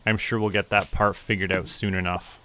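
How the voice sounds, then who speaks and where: A young man from Canada giving relaxed, musing commentary as he plays, often talking to himself. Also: low-pitched. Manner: slow, musing, talks to himself while playing. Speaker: VTuber streamer.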